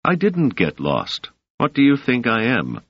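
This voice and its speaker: A man's voice: low voice, big pitch swings, normal speed, vocal fry, no room sound, exaggerated voice. A man reading an audiobook voices a defensive, tired male scholar in close to his own voice. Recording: dull, dry, lo-fi sound.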